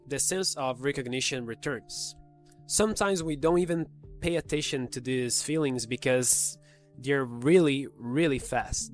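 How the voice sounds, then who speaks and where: A man with a slight cold, hosting a casual explainer and talking straight to the viewer. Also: mid-range voice. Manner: conversational, talks directly to the viewer. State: has a cold, voice a little off. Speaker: video host explaining psychology.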